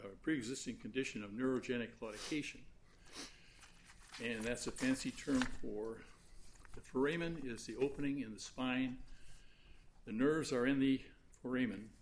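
A soft-spoken man arguing an appeal before judges in a large courtroom, halting and full of fillers as he speaks into a fixed recording mic. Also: medium pitch, a little hiss or hum, low-quality recording. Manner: halting, with many fillers and restarts. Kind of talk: appellate oral argument.

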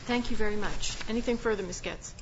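A woman's voice: mid-range voice, fast. A woman speaking as an appellate judge, questioning a lawyer in an assertive, probing, argumentative way. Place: courtroom. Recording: muffled courtroom recording with clear background noise.

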